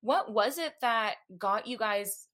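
A woman's voice: fairly high pitch, slow, a bit bright. A woman hosting a podcast, chatting casually as she interviews her guests. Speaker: podcast host.

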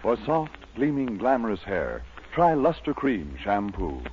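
A man reading a 1940s radio commercial in a soft, coaxing way. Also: fairly low pitch, sing-song, unhurried, very noisy. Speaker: commercial announcer. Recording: dull lo-fi 1940s radio broadcast.

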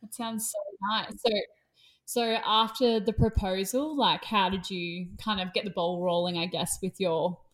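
A woman's voice: medium pitch, fairly even intonation, measured pace, rough recording. A woman hosting a podcast, asking casual questions full of hedging fillers. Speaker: podcast host. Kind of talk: casual interview.

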